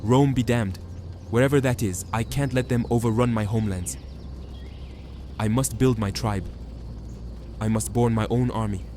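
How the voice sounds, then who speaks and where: A man playing a troubled hidden observer who narrates a fantasy drama in hushed, halting phrases. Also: mid-range voice, fairly even intonation, a little hiss or hum, close to an everyday voice. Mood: resolute. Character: watchful hidden observer, troubled chosen one, resolute. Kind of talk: first-person dramatic narration. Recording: music behind the voice.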